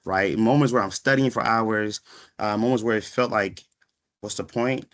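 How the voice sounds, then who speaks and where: A man in his thirties speaks in a fairly low voice, giving a motivational talk to students. He is reflective and conversational, and he often pauses to check that listeners follow. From born in Ghana, raised in Washington, D.C. area from age five. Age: 30s. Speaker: guest speaker, psychiatric pharmacist. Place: online video session.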